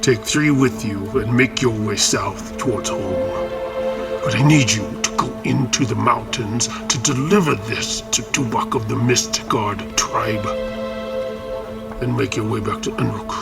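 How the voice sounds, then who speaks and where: A hulking orc commander in a fantasy audio drama. The voice is gravelly and unhurried, stern and used to being obeyed, with loud music behind it. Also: male voice, medium pitch. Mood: calm command. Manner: commanding, measured. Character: tall muscular orc commander, stern but not cruel. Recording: loud music bed under voice.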